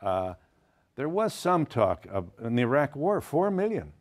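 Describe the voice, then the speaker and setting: A man's voice: medium pitch, big pitch swings, a little slow. A man interviewing a guest, asking thoughtful, probing questions.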